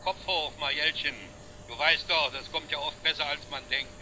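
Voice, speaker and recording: Casting: reassuring family man on the phone, very sharp tone, faint noise. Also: fairly high pitch, fairly even intonation, moderate pace, rough recording. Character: reassuring family father on the telephone. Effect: telephone voice. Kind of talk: 1960s TV drama acting. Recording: thin telephone sound, faint noise.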